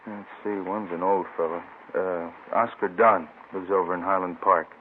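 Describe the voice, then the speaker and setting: An actor playing an easygoing, matter-of-fact detective, talking in casual, laconic lines in a vintage radio drama. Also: male voice, low voice, steady tone, normal speed, lightly acted. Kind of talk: 1950s radio police drama acting. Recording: tinny old radio recording, faint noise.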